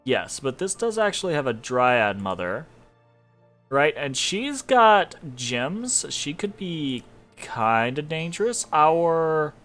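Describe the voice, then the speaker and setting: A man's voice: a little high, big pitch swings, takes it slowly, a bit bright, soft background music. A young man with a congested, sniffly voice, giving casual commentary on a strategy game, loose and rambling with many fillers and small laughs. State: congested from allergies.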